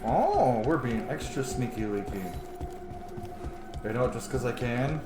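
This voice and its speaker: A man's voice: medium pitch, animated, measured pace, music in the background. A man in his thirties giving casual, rambling, opinionated gaming commentary as a let's play host, swearing freely. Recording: echoey room, noisy game ambience. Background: video game ambience.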